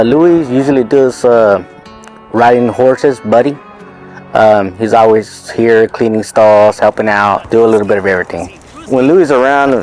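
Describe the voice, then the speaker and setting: A ranch owner and horse trainer speaks in a low, relaxed voice. He hesitates often with fillers. Soft music plays underneath. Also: poor audio. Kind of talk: documentary interview.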